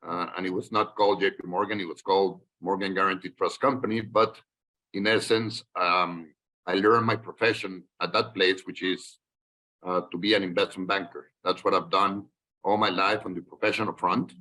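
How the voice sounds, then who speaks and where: A man, a guest telling his career story in a podcast interview in a measured, reflective way. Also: sits low, steady tone, bright, forceful tone, rough recording. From Colombia. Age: 60s. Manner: measured, reflective, with frequent fillers and restarts. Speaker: guest, investment banker.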